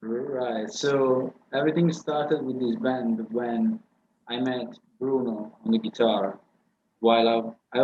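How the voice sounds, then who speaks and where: Man, slightly muffled. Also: mid-range voice. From Italy. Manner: relaxed, filler-heavy, loose phrasing. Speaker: band member, guest. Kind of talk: casual interview answers. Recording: slightly muffled, faint background hiss.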